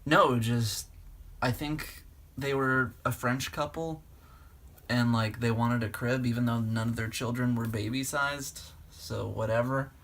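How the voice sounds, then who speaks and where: Casually chatting man, medium pitch. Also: fairly even intonation, unhurried, fairly bright tone. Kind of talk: casual chat. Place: indoors at home.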